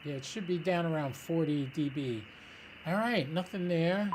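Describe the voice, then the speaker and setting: A man's voice: fairly high pitch, moderate pace, poor audio. A man giving casual running commentary as he operates a ham radio, thinking aloud through each step. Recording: loud radio hiss and static noise. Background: ham radio hiss and chatter.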